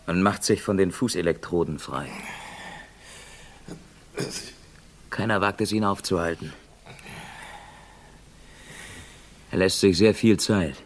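A man plays a live reporter in a vintage crime radio play. His voice sits low as he describes what he sees. He comes across as keen, wry and excitable. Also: a little fast, natural voice. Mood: hushed suspense. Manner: narrating events as they happen, wry. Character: keen male live reporter named Jimmy, wryly excitable.

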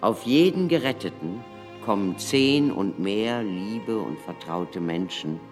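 A grave, learned figure in a German radio play. His voice is low and reflective, over faint music. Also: animated, slow. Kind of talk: German radio drama acting.

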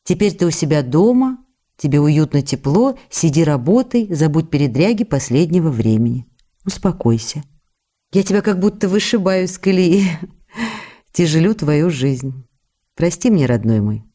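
A woman's voice: low voice, big pitch swings, moderate pace, dark tone. An actress playing a loving, wounded young wife, reading her letters in an intimate, earnest manner in a radio play. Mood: tender, remorseful.

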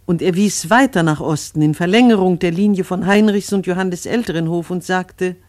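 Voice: medium pitch, a little fast, a little mellow, dry recording. A narrator reading scripted radio drama narration in a solemn, biblical cadence.

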